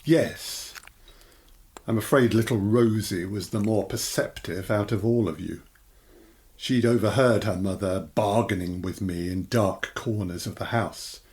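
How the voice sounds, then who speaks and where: An actor playing a menacing supernatural creature in an audio drama, speaking in a measured, mocking, theatrical way. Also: male voice, lowish voice, a little mellow, breathy edge. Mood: sinister, calm. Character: menacing male supernatural child-taking creature, calmly gloating. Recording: music under the voice.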